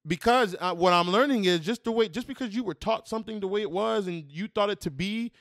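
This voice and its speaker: A man's voice: high voice, brisk, fairly bright tone, dry recording, studio quality. A man on a podcast, rambling loosely about his opinions in slangy speech. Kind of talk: casual political chat.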